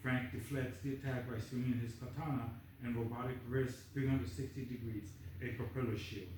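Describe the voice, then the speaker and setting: A man's voice: mid-range voice, steady tone, fairly quick, darkish tone, recorded from a distance. A man reading screenplay stage directions aloud as the narrator at a table read. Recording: echoey room, off-mic, faint noise.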